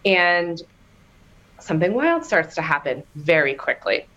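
Low-pitched woman guest, candid and animated storyteller. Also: measured pace, muffled recording. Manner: animated storytelling, laughing, bursts of high excitement. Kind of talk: candid personal testimony in a podcast interview.

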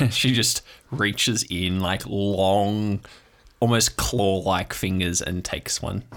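Low-voiced man running a tabletop game. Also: steady tone, slow, fairly bright tone. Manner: descriptive, conversational storytelling. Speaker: game master. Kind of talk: improvised narration of a tabletop game.

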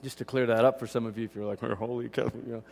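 A man's voice: mid-range voice, fairly even intonation, rapid-fire, creaky, a little mellow. A man from Malaysia preaching as a pastor in a conversational, informal teaching style, often voicing quoted asides. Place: large indoor church hall. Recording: reverberant hall sound.

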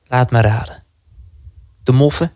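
A man's voice: medium pitch, steady tone, measured pace, darkish tone, no room sound, clean studio sound, lightly acted. A man acting an anxious, defiant young resistance recruit in a dramatic first-person radio play. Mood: bitter, defiant.

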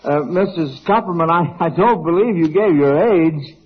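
A man's voice: on the high side, moderate pace, husky. A man hosting an old-time radio quiz show in a jovial, folksy, chuckling manner. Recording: dull vintage radio broadcast.

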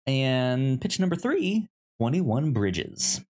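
A podcast co-host chatting casually and pitching movies in a halting way, often stammering and repeating syllables. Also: sing-song, darkish tone.

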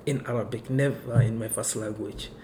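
Mellow-voiced Somali-born man. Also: lowish voice, fairly even intonation, slight background noise. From Kenya. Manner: unhurried, fillers of "you know" and "right". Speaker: interview guest. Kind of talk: casual conversation.

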